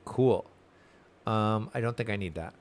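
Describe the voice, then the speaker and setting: A man's voice: lowish voice, unhurried. A man giving casual commentary as he streams himself playing a video game.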